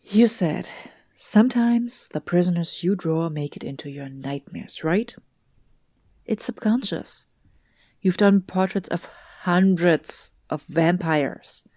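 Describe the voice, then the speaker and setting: Female detective for audio drama, played by an actress: low, slow, breathy, guarded yet soothing, close and dry. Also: dark tone, dry recording. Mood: dismissive. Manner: measured, hesitant, often whispery. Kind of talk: hushed audio drama acting.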